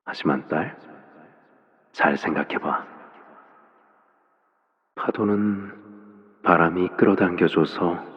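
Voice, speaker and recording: An actor voicing a controlling, world-weary father and menacing swordsman in a video game, husky and deliberate, speaking with ominous softness. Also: deep voice, dry recording, muffled recording, exaggerated voice. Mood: soft, melancholy. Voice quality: husky, breathy. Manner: slow, deliberate, ominously soft-spoken. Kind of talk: dramatic game character voice lines.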